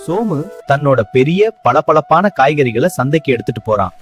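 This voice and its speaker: A man's voice: fairly high pitch, lively intonation, moderate pace, slightly rough, soft background music. A man reading a scripted moral story as narrator.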